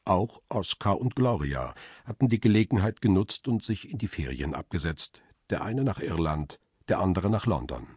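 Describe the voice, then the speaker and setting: A man narrating a radio crime drama in the first person, telling the story in a calm, steady, reflective way. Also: sits low, husky, dry recording, clean studio sound. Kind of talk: scripted radio play narration.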